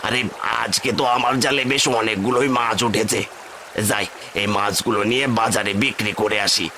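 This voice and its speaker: Man playing a lowish-voiced village fisherman. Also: steady tone, a little fast, bright, forceful tone, a little hiss or hum. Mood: cheerful. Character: poor, devoted village fisherman husband. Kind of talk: cartoon voice acting.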